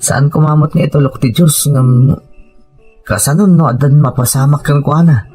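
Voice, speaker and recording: An actor with an Ilocano accent playing an earnest, worried husband and devoted son in an audio drama. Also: male voice, fairly high pitch, steady tone, normal speed, darkish tone, soft background music, lightly acted. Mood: troubled.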